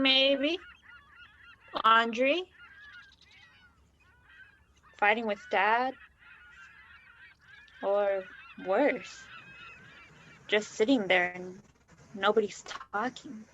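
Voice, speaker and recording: A woman's voice: a little high, slow pace, long pauses, sharp tone, dull audio, slight background noise. A woman acting a naive, unsettled nineteen-year-old confiding in a stranger in a naturalistic play. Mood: subdued. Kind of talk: naturalistic one-act play dialogue.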